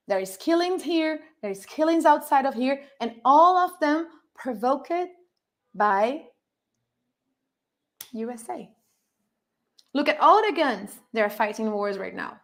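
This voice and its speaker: A woman's voice: high-pitched, a bit bright. A woman with a Brazilian Portuguese accent, a native Portuguese speaker, co-hosting a political livestream with passion and frequent laughter. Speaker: co-host.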